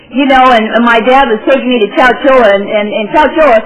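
A woman's voice: fairly high pitch, quick pace, muffled recording, a crowd in the background. Fields: twangy woman telling her story. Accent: Southern American twang. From California, USA. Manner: rambling, folksy storytelling with repeats and restarts. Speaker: speaker sharing her recovery story with an audience. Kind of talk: personal testimony talk.

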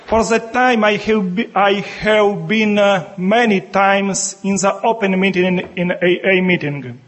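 A man with a Ukrainian accent gives a talk in unhurried, careful English, often pausing and restarting as he searches for words. His voice sits high for a man. He speaks as a bishop addressing a gathering. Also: a bit bright, a little hiss or hum. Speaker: bishop and monk, guest speaker. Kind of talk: halting speech to a meeting.

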